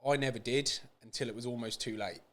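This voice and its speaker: British bloke as podcast guest, medium pitch, plain informal chat. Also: male voice, fairly even intonation, fast talker, fairly bright tone. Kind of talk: casual chat.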